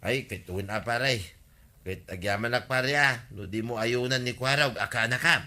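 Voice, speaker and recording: A man's voice: mid-range voice, moderate pace, bright, forceful tone. An actor playing an aggrieved, gossipy village man in an Ilocano radio comedy drama, with an Ilocano accent and a complaining, exclamatory manner. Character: aggrieved, gossipy village man demanding his lost things back.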